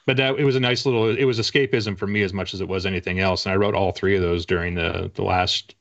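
A man's voice: sits low, steady tone, quick pace, creaky, fairly bright tone. An American man in his forties chatting casually as an interview guest, with wry, self-deprecating humor. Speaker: author guest. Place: small home room lined with bookshelves. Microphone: video call, at a desk. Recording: slightly muffled video call audio.

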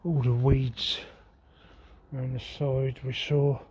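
A man giving halting, off-the-cuff commentary as he walks around outdoors with a handheld camera. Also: mid-range voice, little pitch movement. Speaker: urban exploration vlogger. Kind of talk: casual walking vlog commentary. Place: outdoors on an overgrown derelict site. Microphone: handheld camera's built-in microphone. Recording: muffled, noisy handheld outdoor recording.

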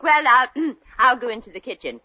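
An actress playing a nosy, sardonic lady friend who deals out brisk wisecracks in 1940s radio comedy style. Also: fairly high pitch, animated, pushed and bright, dry recording. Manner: dry wisecracks. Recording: muffled old radio recording.

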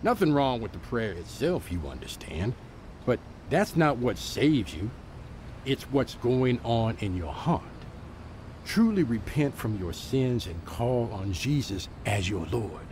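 An actor playing a gentle, earnest evangelist mentor in an audio drama, plainspoken and patient, with measured, fatherly delivery. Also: medium pitch, sing-song, a little hiss or hum. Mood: earnest teaching.

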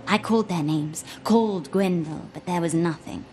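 A woman voicing a solemn, gentle elf in a video game, wistful and watchful, speaking in a measured, earnest way. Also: fairly low pitch, a little hiss or hum, lightly acted. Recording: music behind the voice.

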